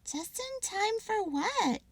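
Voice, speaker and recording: A woman's voice: very high-pitched, very animated, slow pace, no room sound, voice pushed up, heavily acted. A woman voicing a puzzled, practical young girl squirrel in a storybook.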